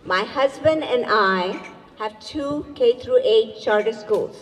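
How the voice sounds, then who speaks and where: A woman's voice: on the high side, darkish tone. Native Urdu-speaking woman giving a formal echoey address. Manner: measured, formal, addressing an audience. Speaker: event emcee and educator. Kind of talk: formal welcoming speech. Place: community event hall. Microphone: distant room mic. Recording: echoey hall, voice far from mic.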